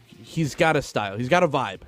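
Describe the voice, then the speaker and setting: A man's voice: mid-range voice, expressive, fairly quick, fairly bright tone, soft background music. A man hosting a film podcast in casual chat, swearing freely and joking crudely.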